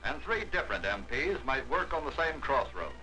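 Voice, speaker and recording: A man narrating a 1940s wartime documentary in a measured, declamatory newsreel manner. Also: medium pitch, big pitch swings, pushed and bright, faint music behind, poor audio.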